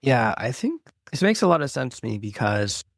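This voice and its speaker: A man's voice: medium pitch, very animated, normal speed, creaky, no echo, clean studio sound. A man in his forties hosting a podcast, chatting casually and making self-deprecating jokes. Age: 40s. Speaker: podcast host. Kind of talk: casual interview chat.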